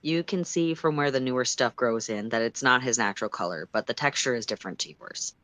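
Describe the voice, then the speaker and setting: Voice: medium pitch, brisk, a bit bright, no room sound. A storyteller reading fan fiction aloud in the second person, with an emphatic, dramatic and exasperated delivery. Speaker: storytime livestream reader.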